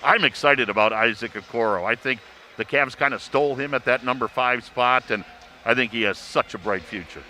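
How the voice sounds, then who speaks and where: Sports announcer guy, medium pitch, upbeat and fluent, talking analysis with clear noise behind him. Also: brisk, bright, forceful tone. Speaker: play-by-play announcer and sports panelist. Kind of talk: sports analysis on a live broadcast.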